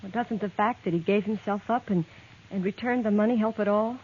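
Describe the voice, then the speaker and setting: A woman's voice: mid-range voice, a little fast, husky, natural voice. An actress playing a young woman in an old radio western, hesitant and earnest, often stammering. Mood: wary. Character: young woman, torn and pleading, in love with an outlaw. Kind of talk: 1950s radio drama acting. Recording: tinny vintage radio transcription.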